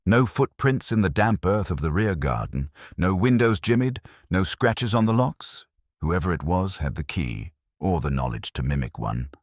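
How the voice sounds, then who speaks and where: Very deep husky male story narrator. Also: lively intonation, moderate pace, dry recording, clean studio sound. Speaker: audiobook narrator. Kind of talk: first-person detective story narration.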